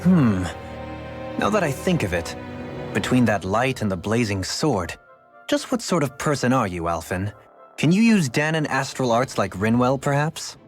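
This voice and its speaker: Game companion male actor: courteous, inquisitive nobleman, medium pitch, lively intonation, clear music behind. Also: normal speed, close to an everyday voice. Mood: curious. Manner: polite, formal, musing. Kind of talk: dramatic video game acting.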